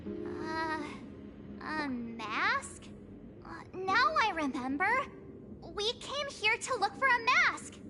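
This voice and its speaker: A woman's voice: very high-pitched, very animated, takes it slowly, heavy background noise, exaggerated voice. A woman playing a bubbly, scatterbrained teenage schoolgirl adventurer in a video game, with a hesitant, exclamatory delivery. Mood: curious, unsure. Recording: game music behind the voice.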